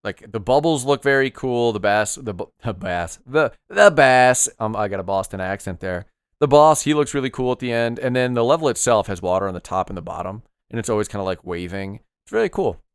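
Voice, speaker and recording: A man's voice: mid-range voice, animated, a little fast, clean tone, dry recording, clean studio sound. A man hosting a podcast, chatting casually about retro games in an upbeat, agreeable way and asking his co-host questions.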